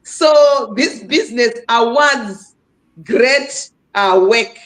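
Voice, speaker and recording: A woman's voice: on the high side, extremely slow, a bit bright, low-quality recording. A woman from Zimbabwe hosting a live stream panel, speaking emphatically and urging the listeners on. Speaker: livestream host. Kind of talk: casual live stream talk.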